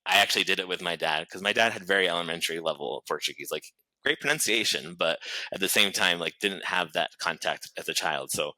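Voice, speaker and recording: Podcast guest, man with a Boston accent: deep, chatty, fast, lots of fillers. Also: pushed and bright, dry recording, low-quality recording. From New Bedford, Massachusetts, USA. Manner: rapid, chatty storytelling with many fillers and restarts. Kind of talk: casual interview chat.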